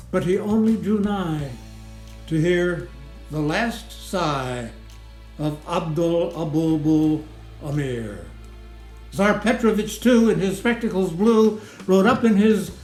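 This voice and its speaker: A man giving a theatrical recitation of a comic rhyming ballad in a measured, sing-song rhythm. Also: high-pitched. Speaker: poetry reader. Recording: roomy echo, music underneath. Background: music.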